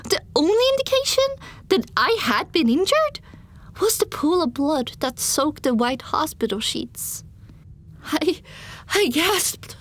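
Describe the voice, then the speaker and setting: A woman narrating an audiobook in the first person, dramatic, grave, deliberate and intense. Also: a little high, a bit bright.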